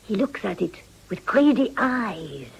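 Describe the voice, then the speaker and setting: Husky, cynical madam. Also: female voice, medium pitch, very animated, unhurried, exaggerated voice, rough recording. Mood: knowing. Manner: brusque, knowing, grandly condescending. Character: worldly, shrewd foreign madam, cynical and imperious. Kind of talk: period radio drama acting.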